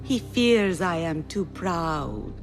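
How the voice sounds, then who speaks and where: A woman's voice: on the low side, lively intonation, slow pace, soft background music. A woman playing a proud, witty, flirtatious poet in a video game, speaking in eloquent, theatrical phrases. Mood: proud, reflective. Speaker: game character, poetess.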